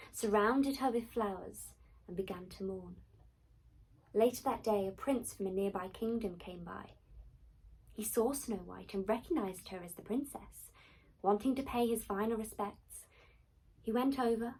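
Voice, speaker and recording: A woman telling a fairy tale dramatically, with theatrical delivery and deliberate pauses. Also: mid-range voice, husky, low-quality recording. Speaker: storyteller.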